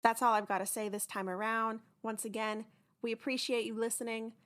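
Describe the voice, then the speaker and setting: A woman's voice: fairly high pitch, little pitch movement, fairly quick, fairly bright tone. A young adult woman giving a chatty, informal update to listeners as a podcast host. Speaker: host and producer of an audio drama podcast.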